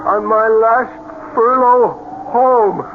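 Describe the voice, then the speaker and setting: A man's voice: very high-pitched, very slow, heavily acted. An actor playing the spirit of a dead young soldier, declaiming theatrically to his mother in a radio play. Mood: yearning. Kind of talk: old-time radio drama acting. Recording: noisy, tinny old radio broadcast.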